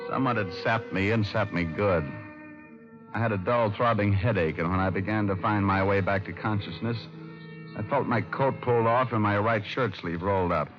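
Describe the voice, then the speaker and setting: An actor playing a terse, world-weary private detective in an old-time radio crime drama. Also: male voice, on the low side, moderate pace, natural voice. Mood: grim, dazed. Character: hard-boiled male private detective narrating his own story. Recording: muffled old radio recording with music.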